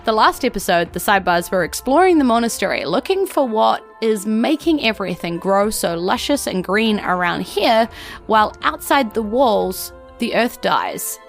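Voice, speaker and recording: An excitable, playful woman with a New Zealand accent, hosting and narrating a tabletop game podcast in a theatrical, chatty way. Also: medium pitch, moderate pace, vocal fry, soft background music. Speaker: podcast host and game master.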